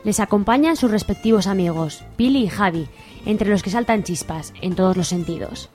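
Spanish woman narrating, mid-pitched, over music. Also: moderate pace, darkish tone. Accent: Spain. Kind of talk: scripted radio serial narration.

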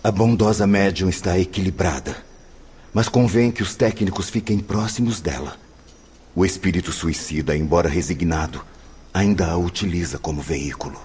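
A man acting in a radio drama as a sober, watchful spirit helper with a husky voice and formal manner. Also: low-pitched, moderate pace, darkish tone.

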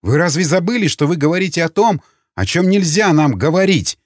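A man's voice: fairly high pitch, animated, a little fast, no room sound, studio quality, pitched above the performer's own voice, natural voice. A man voicing a prim, easily offended councilwoman in an audiobook, alarmed and fussy, scolding the others. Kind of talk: audiobook character acting.

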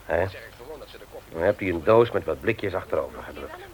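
A man's voice: low voice, expressive, moderate pace. An actor playing a stern, moralizing man who lectures emphatically in a radio play. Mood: stern, indignant. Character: stern, moralizing man confronting a petty thief. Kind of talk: Dutch radio play acting. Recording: muffled vintage radio recording.

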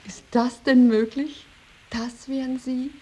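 A chatty, naive young wife in a radio play. Her voice is fairly high with a husky, breathy edge, and she gushes artlessly and without tact. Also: fairly even intonation, slow pace, darkish tone, full character voice, poor audio. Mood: artless, eager. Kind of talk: German radio drama acting.

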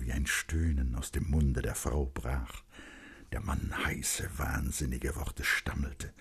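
A man reading literary narration for radio, expressive and dramatic, moving between urgency and hushed tones. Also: rumbling low, breathy edge. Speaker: narrator, audiobook reader. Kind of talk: literary scripted narration, German radio storytelling.

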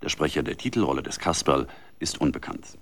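A man's voice: rumbling low, animated, brisk, fairly bright tone. A man working as a radio announcer, reading a formal scripted announcement.

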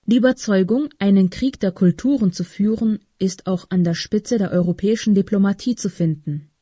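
A woman reads a prepared commentary for radio. Her voice is soft-edged and dark, with a noticeably husky grain, and it sounds very dry, with no room around it. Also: medium pitch, moderate pace, no echo, dull audio. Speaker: radio presenter reading a commentary. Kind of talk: scripted reading of a political essay.

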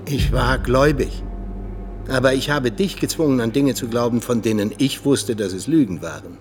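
An actor playing an embittered, world-weary older Soviet ex-agent and mentor in a radio drama, speaking emphatically. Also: male voice, medium pitch, normal speed, background noise, lightly acted. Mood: confessional. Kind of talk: radio drama acting, monologue-like confession.

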